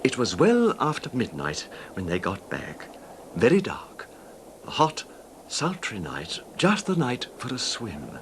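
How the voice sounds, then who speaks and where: An actor playing a controlled, wronged husband calmly confessing his revenge in a 1970s radio drama, measured and understated, with slight hesitations. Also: medium pitch, slight background noise. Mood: hushed, chilling.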